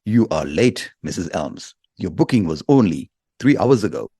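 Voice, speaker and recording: A man's voice: sits low, sing-song, normal speed, warm tone, breathy edge, no room sound, clean studio sound, full character voice. A man playing an ominous, dramatic innkeeper who questions a guest, in the style of a 1930s radio mystery. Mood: ominous, questioning.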